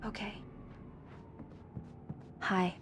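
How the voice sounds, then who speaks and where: An actress playing an affectionate, playful wife in naturalistic, casual game acting. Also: female voice, drawn-out words, a little hiss or hum. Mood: anxious.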